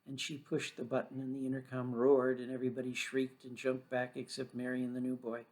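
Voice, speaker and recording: A man's voice: medium pitch, monotone, a little fast, a little mellow, low-quality recording. A man reading a scripted children's story aloud as the storyteller.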